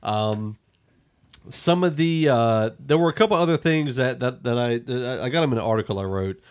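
A man hosting a podcast, casually discussing a film and thinking aloud in a halting way. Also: medium pitch. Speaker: podcast host.

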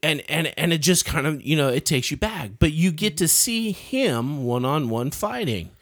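A man's voice: on the high side, lively intonation, normal speed, a bit bright. A man giving a casual, opinionated film review as a podcaster, often stammering and repeating words as he starts.

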